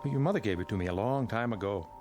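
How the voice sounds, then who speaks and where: A man acts a sinister, unsteady man in an old-time radio drama. His delivery is halting and threatening, with wide swings in pitch that climb toward shouting, over a music bed. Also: animated, close to an everyday voice. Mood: insinuating.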